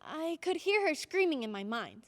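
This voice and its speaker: Very high-pitched little girl, acted by a woman. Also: big pitch swings, moderate pace, dry recording. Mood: wistful recounting. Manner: pleading, urgent, dramatic. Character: excitable, insistent little girl, Margaret. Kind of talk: old-time radio drama acting with narration.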